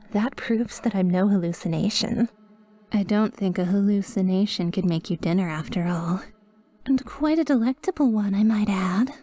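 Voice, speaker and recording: A woman playing a sweet, possessively devoted guardian angel in a roleplay ASMR monologue, with soft, breathy, often whispered speech and formal, flowery wording. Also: medium pitch, dry recording, natural voice. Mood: gently teasing. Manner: formal, flowery, slow and adoring.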